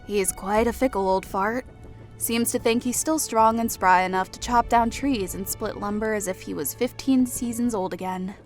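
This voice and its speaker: A woman's voice: medium pitch, normal speed, close to an everyday voice. A woman acting a chatty character in audio story dialogue. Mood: wry. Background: music.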